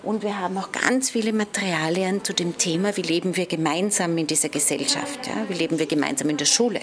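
A woman's voice: lowish voice, quick pace. A woman explaining her organization's work in an interview at a busy conference fair. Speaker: exhibitor at education fair. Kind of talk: interview answers. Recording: busy crowd chatter behind.

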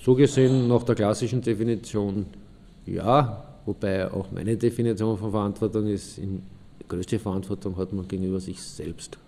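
A man's voice: on the low side, fairly even intonation, normal speed, vocal fry, mellow. An actor playing a matter-of-fact, philosophizing man who gives reflective opinions in an interview-style radio drama. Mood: opinionated.

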